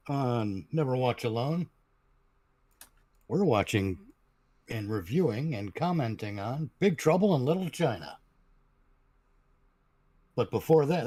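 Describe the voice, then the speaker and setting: A man's voice: mid-range voice, expressive, a little slow, pauses often, darkish tone, dry recording. A man on a panel stream, chatting casually as he announces shows. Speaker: panel member promoting shows.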